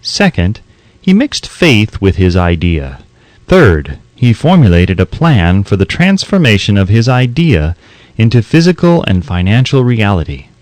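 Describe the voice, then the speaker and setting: Man narrating with lively, swinging intonation. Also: on the low side, a little slow, dry recording. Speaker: audiobook narrator. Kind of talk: scripted audiobook narration of a self-help book.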